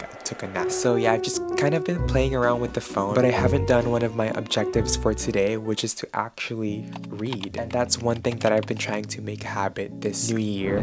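Young man chatting in a casual vlog. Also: lowish voice. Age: young adult. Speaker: vlogger. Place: a cafe and a restaurant.

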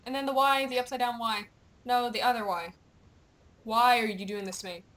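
A young woman gamer with a playful, excitable, teasing manner, chatting over gameplay and reading game dialogue aloud. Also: fairly high pitch, brisk. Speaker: gamer, video host.

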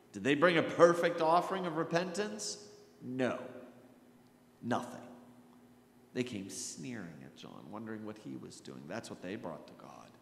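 A middle-aged American man preaching a sermon as a Lutheran pastor, with emphatic delivery and deliberate pauses. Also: mid-range voice. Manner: emphatic, dramatic pauses and repetition, often dropping to a hush. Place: church sanctuary at a pulpit. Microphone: gooseneck pulpit microphone. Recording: echoey church hall with background hiss.

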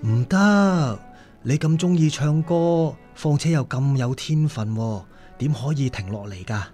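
A devoted working-class father in a radio play, mid-pitched, with a Hong Kong Cantonese accent. He is hesitant and apologetic, and music plays faintly behind him. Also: animated, measured pace, a little mellow. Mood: weary, resigned. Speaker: radio drama actor. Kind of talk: radio drama acting.

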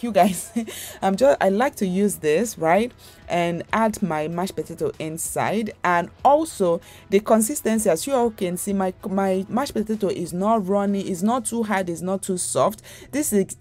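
This is a young woman hosting her own cooking channel, talking through a recipe. Her voice sits low and sounds warm and mellow. She rambles casually, stopping to correct herself and filling gaps with fillers, while faint music plays behind her. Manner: chatty, rambling, many fillers and restarts.

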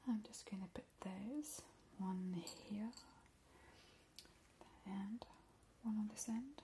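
A woman in a whispered ASMR roleplay, drawing out her words and repeating trigger words softly, recorded indoors at a table on a close mic. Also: medium pitch, slow, a little hiss or hum. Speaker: ASMR channel host.

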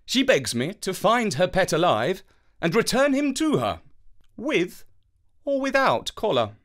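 Victorian gentleman detective, voiced by a man: proud, formal, pitched up, very animated intonation. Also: exaggerated voice. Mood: matter-of-fact. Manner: formal, measured, emphatic. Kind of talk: children's storybook character voice.

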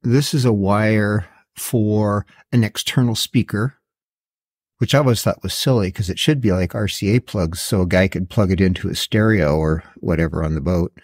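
A man's voice: lowish voice, normal speed, a little mellow. A middle-aged or older man giving a casual, matter-of-fact product walkthrough as a video host, with a slightly wry, plain-spoken way of talking. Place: indoor garage workshop.

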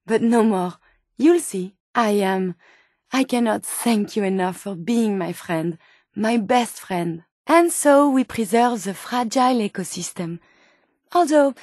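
A woman's voice: mid-range voice, darkish tone, no room sound, studio quality. An actress playing an earnest, curious female medical robot turned human in a video game, with a French accent and precise, formal diction. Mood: curious, delighted. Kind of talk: video game character dialogue.

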